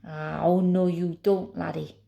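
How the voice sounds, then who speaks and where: A woman's voice: on the low side, level tone, a little slow, gravelly, warm tone, lo-fi sound. A woman voicing a small, combative male spoon warrior in a storybook, with a Scottish accent and a snappy manner.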